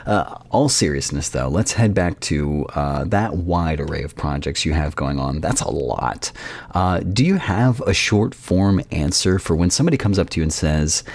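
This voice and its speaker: A man's voice: sits low, lively intonation, moderate pace, darkish tone. A man hosting a conversational interview podcast with an enthusiastic, affirming manner. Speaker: podcast host.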